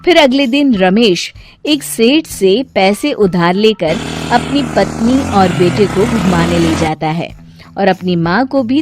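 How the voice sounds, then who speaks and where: A woman narrator reading a scripted story. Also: medium pitch.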